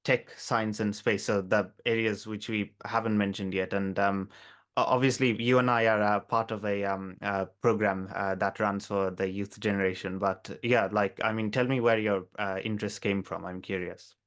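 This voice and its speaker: Male interviewer, lowish voice, hesitant, lots of fillers. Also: little pitch movement, normal speed, fairly bright tone. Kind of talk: casual interview.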